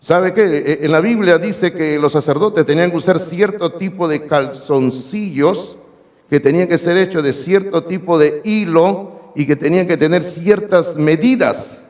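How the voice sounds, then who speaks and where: Hoarse male pastor, emphatic sermon delivery to the congregation, reverberant church hall. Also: on the high side, measured pace, darkish tone. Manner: emphatic, addresses the congregation directly. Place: church sanctuary.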